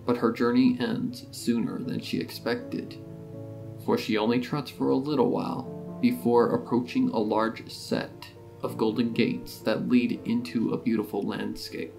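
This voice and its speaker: A man reading scripted dramatic story narration in a measured, solemn manner. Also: medium pitch, steady tone, gravelly, rough recording. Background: guitar music.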